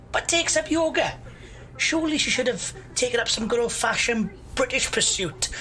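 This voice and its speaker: A woman's voice: a little high, fairly bright tone, natural voice, rough recording. A woman acting in an audio drama as a bored, opinionated, argumentative young procrastinator who loves gossip. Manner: argumentative, grumbling.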